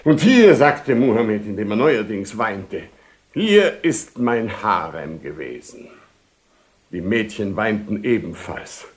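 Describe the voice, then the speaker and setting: A pompous old-world knight commander in a radio drama. His voice sits on the low side and swings widely in pitch as he holds forth ceremoniously and repeats himself. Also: very animated, slow pace. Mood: grandiose, marvelling. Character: pompous married knight commander and father, confessing. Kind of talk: German-language radio play acting, 1970.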